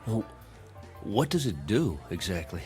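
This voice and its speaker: An actor playing an eager, harried young job seeker in a radio drama, polite and a little hesitant. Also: male voice, lowish voice, very animated, measured pace, a little mellow, faint music behind. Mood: uneasy. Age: young adult.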